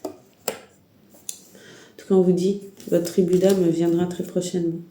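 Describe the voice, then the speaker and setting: A woman's voice: fairly low pitch, little pitch movement, a little slow, dark tone, roomy sound, slight background noise. A woman with a heavy head cold and sore throat gives a rambling, hesitant spiritual guidance talk, speaking straight to the viewer. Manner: hesitant, rambling, many restarts and fillers. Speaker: tarot reader.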